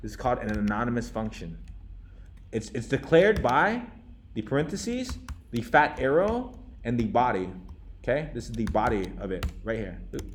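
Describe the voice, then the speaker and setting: A young man leads a live coding class in a relaxed, chatty way, restarting sentences and checking in with the students. His pitch sits mid-range for a man. A faint hiss runs under the recording. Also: moderate pace. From United States. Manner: casual, animated teacher, many restarts and "okay?" checks. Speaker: coding instructor.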